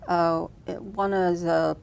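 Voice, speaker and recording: A woman's voice: lowish voice, level tone, slow, creaky, a little mellow. A woman from Lebanon, a filmmaker being interviewed, answering thoughtfully with frequent restarts and fillers. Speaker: filmmaker guest.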